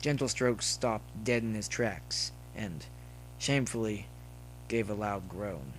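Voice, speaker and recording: A man reading a comic story aloud as scripted, expressive narration. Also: lowish voice, takes it slowly, a little hiss or hum, rough recording. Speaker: narrator reading fan fiction.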